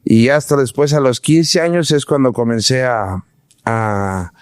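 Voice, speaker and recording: A man in his forties from Mexico City with a Mexican Spanish accent, telling his story as a podcast guest, halting and full of fillers. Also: medium pitch, smooth, dry recording, studio quality. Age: 40s. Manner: halting, many restarts and fillers. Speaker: guest, former pro footballer. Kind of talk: casual podcast interview.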